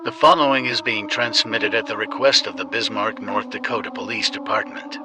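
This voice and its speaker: A man's voice: fairly low pitch, steady tone, a little fast, very noisy. A man announcer delivering a scripted emergency alert.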